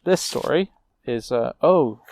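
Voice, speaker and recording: A man telling a fantasy tale in character, moving between informal asides and measured narration. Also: medium pitch, expressive, darkish tone. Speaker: storyteller.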